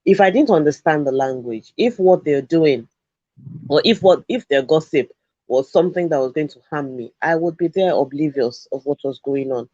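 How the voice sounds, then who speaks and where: Earnest man, higher voice, dry sound. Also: animated, moderate pace, darkish tone, no echo, highs cut off. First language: Yoruba. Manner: earnest, emphatic, persuasive. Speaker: education show analyst. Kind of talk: studio discussion commentary.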